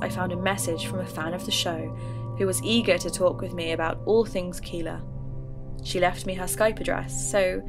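An actress narrating in first person as a podcaster looking into a mystery. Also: female voice, lowish voice, lightly acted. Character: young female podcaster investigating a mystery. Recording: music bed under narration.

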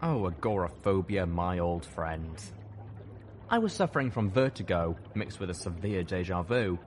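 A man's voice: low-pitched, expressive, normal speed, a little hiss or hum. A man reading scripted first-person fiction as an audiobook narrator.

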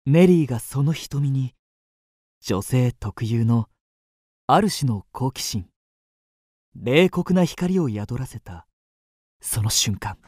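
A man playing a gentleman who tells his own story in a dramatic radio play. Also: mid-range voice, animated, takes it slowly, long pauses, dry recording, studio quality. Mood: tense. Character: dramatic young gentleman narrator on the run. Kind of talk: first-person radio drama narration.

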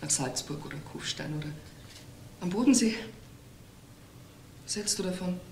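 An actress playing a suspicious, wounded wife who runs a restaurant, demanding and questioning in a crime radio drama. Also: female voice, on the low side, animated, normal speed, vocal fry, a little mellow. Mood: strained, pleading. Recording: roomy sound with faint noise.

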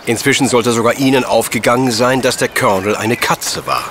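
An actor playing a professorial detective in a radio play, formal, precise and condescending but courteous. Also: male voice, medium pitch, fairly even intonation, brisk, a bit bright, slight background noise, close to an everyday voice. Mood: patient, condescending. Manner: pedantic, formal old-fashioned phrasing. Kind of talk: German radio play acting.

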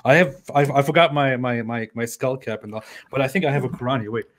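A young man with a mid-pitched voice talks on a casual livestream video call. He jokes in a mock-solemn, pious manner, often repeating a phrase before going on. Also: fast talker, a little hiss or hum. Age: young adult. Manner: mock-pious, hesitant repetition. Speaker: guest on a livestream. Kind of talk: casual joking livestream chat. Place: small room at home, video call.

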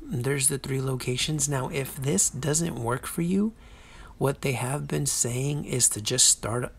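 A man's voice: medium pitch, vocal fry, slight background noise. A man giving a casual game walkthrough, chatty, playful and self-deprecating, as the host of a guide.

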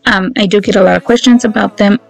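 A woman's voice: medium pitch, fairly even intonation, fast, creaky. A woman in her thirties giving a chatty, informal voice-over about household chores, as a home vlogger. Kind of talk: casual voice-over narration of chores. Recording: music playing behind the voice. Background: background music.

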